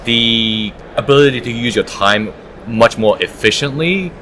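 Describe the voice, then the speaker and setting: A man's voice: medium pitch, measured pace, sharp tone, slight background noise. A man answering interview questions conversationally as an audiobook producer at a live event.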